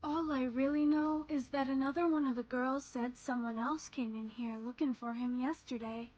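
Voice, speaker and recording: A woman's voice: high-pitched, fairly even intonation, darkish tone, muffled recording. A woman playing a flirtatious, sly tavern courtesan in a fantasy game, teasing and coy. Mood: teasing, gossipy. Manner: teasing, coy, sultry drawn-out endearments. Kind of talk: scripted game character dialogue.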